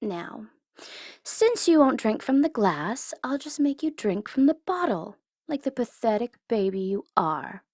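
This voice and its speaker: A woman playing a teasing tsundere supervillain in an ASMR audio roleplay, condescending but easily flustered, sometimes slipping into soft whispers. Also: a little high, sing-song, a little slow, no room sound, studio quality, full character voice. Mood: exasperated, scolding. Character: teasing, tsundere female supervillain flustered by her captive hero.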